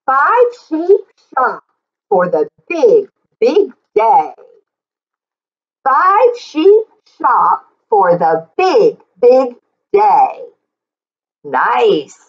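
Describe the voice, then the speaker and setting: A middle-aged woman reading aloud to young children in an animated, sing-song way, with encouraging asides. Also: a little high, extremely slow, pauses often, lo-fi sound. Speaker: children's story reader and teacher. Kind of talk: read-aloud for young children, repeating lines slowly. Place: small plain indoor room.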